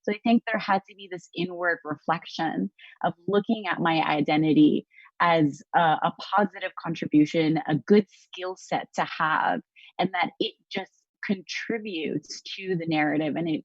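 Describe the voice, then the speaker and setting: A woman with a lowish voice speaks earnestly on a panel, reflective and searching, often pausing on "um" and restarting her thoughts. The sound is dull and lo-fi, very dry, as if coming over a video call. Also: no echo. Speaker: journalist. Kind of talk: panel discussion on a live stream.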